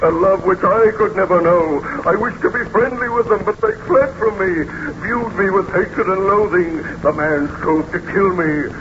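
A man's voice: sits high, normal speed, hardly any pauses, natural voice. Male actor as wretched monster, 1930s radio melodrama, declamatory, bitter, pleading, menacing, muffled noisy recording. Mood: mournful. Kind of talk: 1930s radio serial acting.

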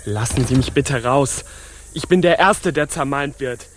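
An actor playing a frightened captive poet in a radio play, pleading anxiously in florid, pompous phrases. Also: male voice, mid-range voice, measured pace, natural voice. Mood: dread. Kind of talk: stage-like radio play acting.

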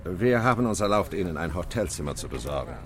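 An actor playing an affable, courteous British police officer in a German radio crime drama. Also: male voice, low voice, big pitch swings, quick pace, fairly bright tone. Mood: courteous, genial. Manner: courteous, sociable. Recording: busy public place with crowd noise.

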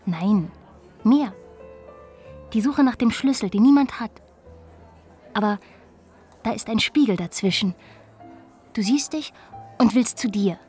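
A woman's voice: fairly high pitch, expressive, unhurried, long pauses, a little mellow, soft background music. An actress playing an enigmatic, dreamy young woman who talks in cryptic riddles in a radio play. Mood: cryptic, intense. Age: young adult. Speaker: actor in radio drama. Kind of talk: naturalistic radio play acting.

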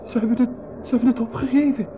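An actor playing an excitable, outspoken young man on an expedition in a 1980s school radio play. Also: extremely high pitch, quick pace, noisy background. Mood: alarmed. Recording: dull old radio play recording.

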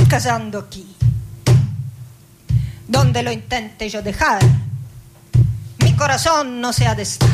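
Slow, high-voiced, passionate poet over music. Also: female voice. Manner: slow, dramatic delivery with long pauses. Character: passionate, defiant woman poet, dreamy and theatrical. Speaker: actress and singer. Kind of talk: theatrical poetic radio monologue with music, code-switching, partly sung.

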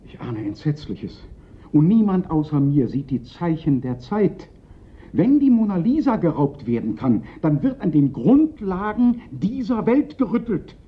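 A melodramatic police prefect who frets and pities himself. His voice sits fairly high for a man and swings widely in exasperation. It is a radio play with slightly dull, lo-fi sound. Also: sing-song, unhurried. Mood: alarmed, indignant. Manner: theatrical, exasperated, lamenting. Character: fretful, self-pitying Paris police prefect, panicky and melodramatic. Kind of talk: German radio play acting.

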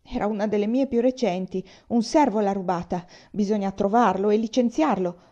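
A woman's voice: mid-range voice, fairly quick, mellow, muffled recording, close to an everyday voice. A woman voicing a boastful old male general who talks categorically and whines like a complaining boy. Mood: whining. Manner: categorical, then whining like a boy.

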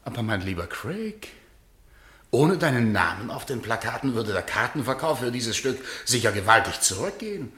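An actor in a German radio play as a domineering, mocking husband who talks with theatrical condescension. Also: male voice, medium pitch, very animated, moderate pace, pushed and bright, slightly rough, exaggerated voice. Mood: smug, taunting. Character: sneering, domineering husband who enjoys power and taunting.

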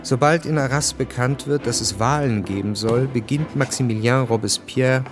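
A man's voice: mid-range voice, moderate pace, over music. A man narrating a literary radio drama from a script, precise and matter-of-fact.

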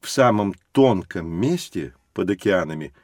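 An actor playing a patient, learned science teacher who explains things to children in a measured, didactic way for an educational audio drama. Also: male voice, low voice, lively intonation, dry recording, studio quality. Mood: patient, instructive.

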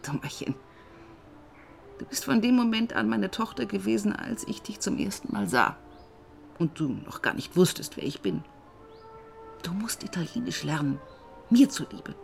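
A woman's voice: medium pitch, very animated, moderate pace, creaky, long pauses, soft background music. An actress playing an older widow, a stern but loving adoptive mother, dry and firm in a naturalistic radio drama. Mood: firm, tender.

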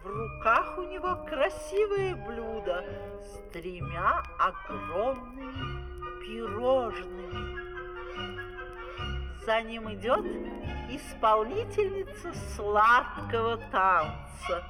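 A narrator telling a scripted fairy tale in an expressive storytelling manner. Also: medium pitch, slow, rough recording. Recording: old vinyl record with music underneath. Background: music.

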